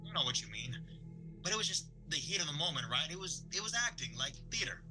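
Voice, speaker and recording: A man's voice: fairly high pitch, very animated, quick pace, music in the background, poor audio. A man playing a polite, articulate, tactful young man in an audio drama. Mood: awkward, reassuring. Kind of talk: audio drama table read.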